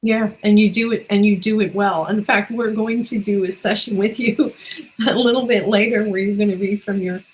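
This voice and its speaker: A woman's voice: mid-range voice, fairly even intonation, normal speed, slight background noise, poor audio. A woman hosting a casual interview in an encouraging, affirming manner. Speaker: interviewer and host.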